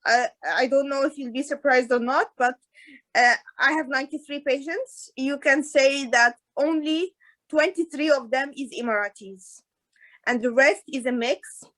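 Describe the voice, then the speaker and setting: A woman, an orthodontist guest talking in a casual interview over a video call, with hesitant pauses and restarts. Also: high voice, a bit bright, poor audio. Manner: hesitant, many restarts and fillers. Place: in Dubai.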